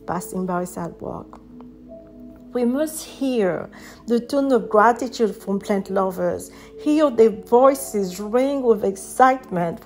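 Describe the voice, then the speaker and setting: A woman with a French accent reads her own poetry aloud, unhurried and unforced. Her voice is soft and reflective, at an everyday pitch. Faint music plays quietly behind her. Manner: gentle, reflective, soft-spoken. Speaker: poet reading her own work. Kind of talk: slow poetry reading.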